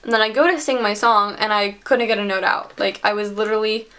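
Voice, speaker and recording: A woman's voice: medium pitch, fairly even intonation, a little fast, a bit bright, clear, resonant tone. A teenage girl telling a personal story in a casual vlog, chatty and filling her sentences with "like". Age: teens. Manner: chatty, heavy use of "like". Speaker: vlogger.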